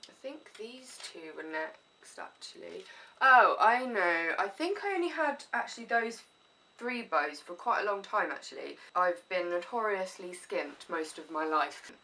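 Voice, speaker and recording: A woman's voice: fairly low pitch, lively intonation, measured pace, pushed and bright. A chatty woman vlogging with a stuffy, sniffly head cold, rambling enthusiastically. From England. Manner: rambling, enthusiastic, self-deprecating. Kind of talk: casual chatty haul video. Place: room at home. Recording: voice a little distant from the mic.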